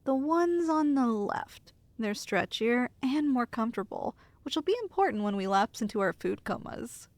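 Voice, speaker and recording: A woman acts out a loving girlfriend in an intimate ASMR roleplay. Her voice sits a little high with a noticeable creak, recorded dry and close. She teases playfully and reassures her nervous partner tenderly. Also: animated, moderate pace, hardly any pauses, no echo.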